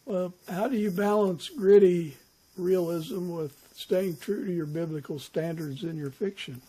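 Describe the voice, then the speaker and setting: A man hosting an interview podcast, talking in a measured, conversational way with pauses mid-sentence. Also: high voice, mellow, breathy edge, poor audio. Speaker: podcast host.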